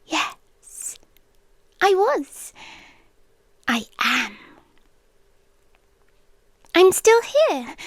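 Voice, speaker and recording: A British woman playing an obsessive, teasing yandere girlfriend in a whispered, breathy ASMR roleplay with long pauses. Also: high-pitched, dry recording, exaggerated voice. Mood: hushed, menacing.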